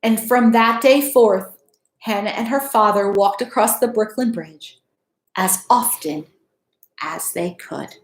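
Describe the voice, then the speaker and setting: A woman's voice: medium pitch, slow, echoey room, slight background noise. A middle-aged woman reading a children's storybook aloud like a school principal, with teacherly asides to her listeners, in a home room. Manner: expressive, teacherly, addresses listeners with questions. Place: small room at home, indoors.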